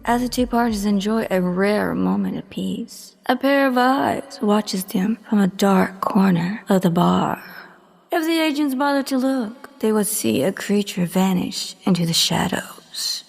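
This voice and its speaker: A woman voicing an ominous storyteller, narrating an audio drama in a hushed, breathy, half-whispered delivery. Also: medium pitch, measured pace, in a large hall.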